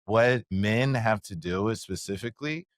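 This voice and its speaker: Lively male co-host, storytelling, dry sound. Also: lowish voice, normal speed, no room sound. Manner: animated storyteller, frequent "like" and "right?". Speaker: podcast co-host. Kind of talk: casual conversation. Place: live recording at a conference.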